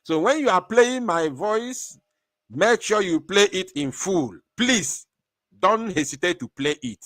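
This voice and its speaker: A man's voice: high-pitched, lively intonation, unhurried, a bit bright, no echo, studio quality. A man hosting an online stream, talking in a defiant, taunting way, laughing often and repeating his phrases. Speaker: online show host. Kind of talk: animated live-stream monologue.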